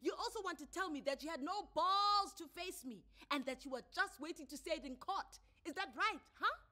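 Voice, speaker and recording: A woman voicing an angry, scornful, impatient wife with a loud, accusing manner in a dramatised story. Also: extremely high pitch, a little fast, voice pushed up. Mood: hurt, derisive. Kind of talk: dramatised short story reading.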